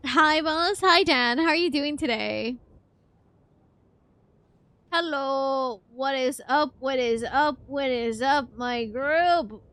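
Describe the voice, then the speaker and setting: A woman streamer reacting casually and playfully to a game, excitable and joking with her chat. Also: high voice, slow, dry recording. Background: game music.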